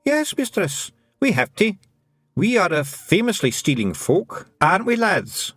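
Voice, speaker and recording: A tiny rowdy Scots fairy chieftain. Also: male voice, sits high, very animated, a little slow, no echo, clean studio sound, voice pushed up, exaggerated voice. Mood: rowdy, pleading. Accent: put-on Scottish. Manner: boisterous, shouty, brogue-heavy. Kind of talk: audiobook character voice.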